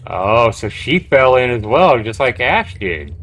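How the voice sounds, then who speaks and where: A man's voice: medium pitch, big pitch swings, measured pace, crowd noise. A man live-streaming a game, reading its text aloud and adding casual, reactive commentary. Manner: reactive, conversational.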